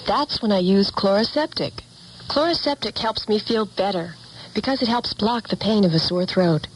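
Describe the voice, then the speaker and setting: A woman's voice: medium pitch, normal speed. A woman giving a scripted testimonial in a 1970s radio commercial. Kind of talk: 1970s radio advertisement read. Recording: echoey, faintly noisy old radio recording.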